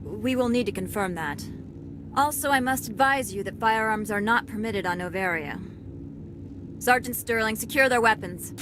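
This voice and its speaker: A woman's voice: fairly high pitch, moderate pace, noisy background, lightly acted. A woman playing a by-the-book security captain in a video game, with a formal, clipped, official manner. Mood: stern, warning.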